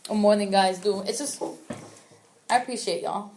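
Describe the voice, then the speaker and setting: Young New woman, lively casual chat. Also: medium pitch, moderate pace, slight background noise. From New York. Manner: animated storytelling, laughs often. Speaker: podcast co-host.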